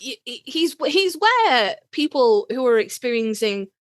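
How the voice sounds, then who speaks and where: Higher-voiced, emphatic, sweary woman podcaster. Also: very animated, unhurried, no room sound, studio quality. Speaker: podcast co-host. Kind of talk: casual fan chat about a TV series.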